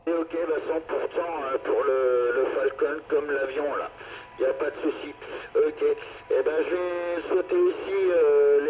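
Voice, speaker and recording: A man chatting casually as an amateur radio operator, courteously exchanging signal reports. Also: on the high side. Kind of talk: casual radio contact chat. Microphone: two-way radio microphone. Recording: tinny narrowband radio, faint noise.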